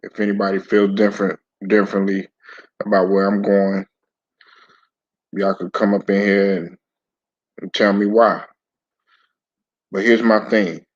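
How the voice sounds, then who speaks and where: A man with a low, raspy voice, hoarse from a throat bug, talks slowly and loosely to his viewers about sports. He keeps stopping, restarting and clearing his throat. The sound is dull and lo-fi, like a cheap home setup. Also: level tone. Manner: laid-back, stop-start, repeats words. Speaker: sports commentary channel host. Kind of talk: casual live-stream talk to viewers.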